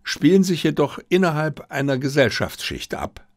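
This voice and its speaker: A man reading the part of a confident, gently mocking detective with an assured, lecturing manner in an audiobook. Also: fairly high pitch, lively intonation, normal speed, dry recording, studio quality, pitched above the performer's own voice, close to an everyday voice. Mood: dry, lecturing.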